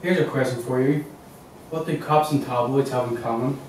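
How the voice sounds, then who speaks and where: A man's voice: medium pitch, steady tone, moderate pace, a little mellow, close to an everyday voice. Distant, echoey worried client, played by a man. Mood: bitter. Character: Paul Watts, worried well-groomed man seeking missing partner. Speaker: actor at a table read. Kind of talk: table read of a crime thriller script. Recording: very roomy, distant mic, faint noise.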